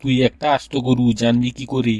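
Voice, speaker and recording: A man's voice: mid-range voice, completely flat delivery, fairly quick, a little mellow, no room sound, lo-fi sound, lightly acted. A man voicing a strict, impatient education minister who quizzes pupils in a comedy cartoon. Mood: stern, annoyed. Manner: bossy, interrogating.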